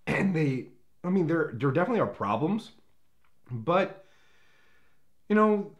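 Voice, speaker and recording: A man's voice: a little high, very animated, measured pace, lo-fi sound. A man talking casually as an opinionated movie reviewer, blunt and rambling, often repeating words as he searches for phrasing.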